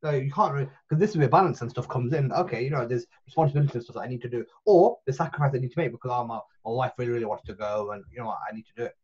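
A man in his forties talks over a video call from a small room at home, rambling and restarting as he gathers his thoughts. His voice is husky, and the call audio comes through dull and muffled. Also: mid-range voice, rapid-fire, hardly any pauses, a little mellow. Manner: rambling, full of restarts and fillers. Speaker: podcast guest. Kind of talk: casual podcast chat.

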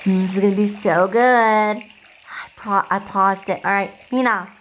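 A woman playing an eager, bossy young girl who directs a homemade movie in doll play, with excitable, drawn-out delivery. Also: mid-range voice, a little hiss or hum, natural voice. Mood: eager, directing.

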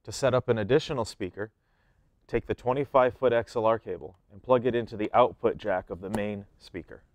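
A man's voice: on the low side, brisk, no room sound. A man in his thirties giving plain, step-by-step how-to instructions as an equipment demonstrator.

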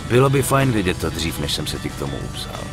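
A man's voice: very deep voice, big pitch swings, music in the background. A man playing a wary, grumbling young gangster in a dubbed crime game, terse and slangy. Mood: annoyed. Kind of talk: dubbed video game acting.

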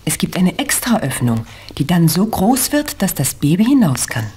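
A woman playing a patient, kindly mother explaining things gently in a 1970s German children's radio play. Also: on the low side, big pitch swings, moderate pace.

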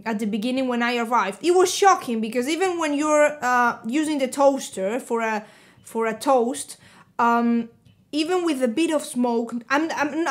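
A woman in her twenties from Spain with a Spanish accent, talking casually to camera in a living room, chatty and animated, with plenty of fillers and restarts. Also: high-pitched. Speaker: vlogger.

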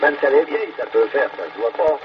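A man's voice: mid-range voice, animated, fast. A man making casual banter as an amateur radio operator. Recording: muffled, very noisy FM radio.

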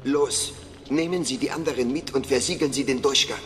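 A man's voice: mid-range voice, a little fast, natural voice. A man playing a desperate, commanding Resistance leader in a dramatic video game cutscene. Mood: urgent command. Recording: long cave reverb, music behind.

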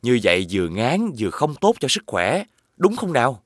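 A man's voice: medium pitch, huge pitch swings, normal speed, dry recording, studio quality. A man voicing a patient, reasonable father in a children's cartoon, encouraging in a southern Vietnamese accent. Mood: gently chiding.

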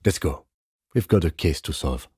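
Composed police detective, video game dialogue, husky, dark tone, close dry recording, restrained, dutiful. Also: male voice, rumbling low, expressive, no room sound, heavily acted. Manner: measured, restrained, matter-of-fact.

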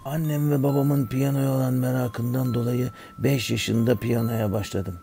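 A man as a gravelly, creaky-voiced cartoon music teacher. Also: medium pitch, steady tone, normal speed, warm tone. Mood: kindly, instructive. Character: older male music teacher, kindly and instructive. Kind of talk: scripted lesson narration for children.

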